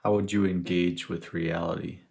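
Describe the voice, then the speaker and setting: A man leading a guided meditation, speaking in an unhurried, reflective way with long pauses between phrases. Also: deep voice, little pitch movement, gravelly, a little mellow. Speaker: meditation guide, spiritual teacher. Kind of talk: guided meditation, contemplative teaching.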